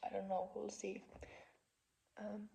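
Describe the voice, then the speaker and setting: A woman in her twenties with a Finnish accent, talking in a soft, halting, unscripted vlog monologue from a couch at home. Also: medium pitch, completely flat delivery, creaky, slight background noise. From Finland. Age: 20s. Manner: soft-spoken, halting, frequent fillers. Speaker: vlogger. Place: parents' living room couch.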